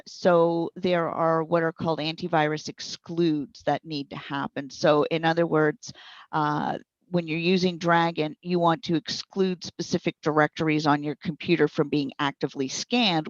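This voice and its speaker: A woman speaking as a consultant in an interview, explaining things informally with careful, measured wording. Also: low-pitched, fairly even intonation, dry recording. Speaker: speech recognition consultant and trainer being interviewed. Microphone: wireless headset. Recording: dull, band-limited internet call audio.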